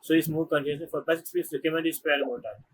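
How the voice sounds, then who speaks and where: A man giving casual game reaction commentary, often repeating his phrases in an animated way. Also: a little high, very fast, slight background noise. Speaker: game streamer.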